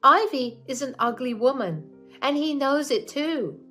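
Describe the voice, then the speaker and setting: Woman reading a spiteful, entitled mother-in-law, pitched up, scornful, lively. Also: unhurried, soft background music, full character voice.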